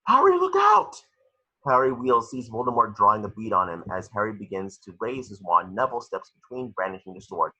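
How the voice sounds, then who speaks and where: A man's voice: fairly low pitch, a little fast, few pauses, bright, forceful tone, muffled recording. A man reading screenplay stage directions as narrator at an amateur table read, occasionally stumbling over the words.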